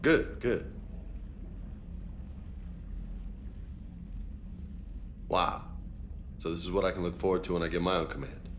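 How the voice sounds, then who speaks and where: A man's voice: low voice, fairly quick, a bit bright, a little hiss or hum. A man playing an informal, self-doubting space marine in a video game, talking in a casual, slangy, blunt way. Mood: casual, joking. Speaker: soldier. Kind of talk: video game dialogue acting.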